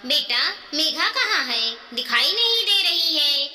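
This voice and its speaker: A woman storyteller voicing a gentle middle-aged mother who explains plainly, in a moral story. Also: high voice, big pitch swings, slow pace, far from the mic, exaggerated voice. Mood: inquiring. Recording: echoey room with long reverb.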